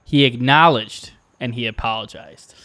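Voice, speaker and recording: A man's voice: mid-range voice, moderate pace, pushed and bright. A man co-hosting a comedic TV recap podcast, commenting casually with mock-dramatic wryness. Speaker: podcast co-host.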